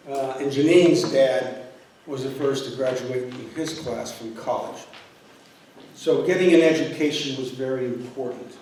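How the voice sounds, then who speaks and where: An older man from northeastern Pennsylvania, Polish his first language, speaks to an audience in an earnest, halting way, full of fillers and restarts. His voice sits at a middle pitch. It comes from some distance away, in a hall with heavy echo and a faint hiss behind it. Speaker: university president candidate. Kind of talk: public talk to an audience. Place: university hall. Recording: echoey room, from far off.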